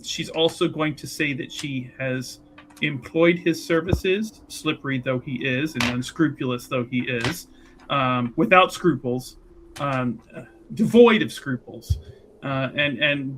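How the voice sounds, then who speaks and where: A man improvising casual, halting and wordy narration as a player in a tabletop roleplaying game. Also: mid-range voice, background noise.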